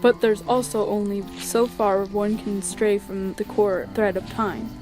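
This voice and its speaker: A woman's voice: mid-range voice, steady tone, unhurried, lightly acted. A woman plays a laid-back skeleton monster with a world-weary streak. The delivery is casual and wry, in mid-range cartoon dub style, with faint music underneath. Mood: pensive. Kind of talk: animated comic dub acting.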